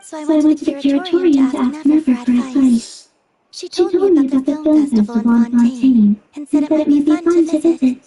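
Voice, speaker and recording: A woman playing a soft-spoken, innocent and gently curious young woman in a video game. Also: high-pitched, normal speed, lightly acted, rough recording. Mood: calm, reflective.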